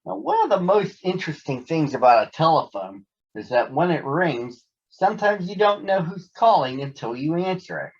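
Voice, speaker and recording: A man with a husky voice reading a children's story aloud expressively, with theatrical pauses. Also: on the high side, big pitch swings. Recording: dull lo-fi sound.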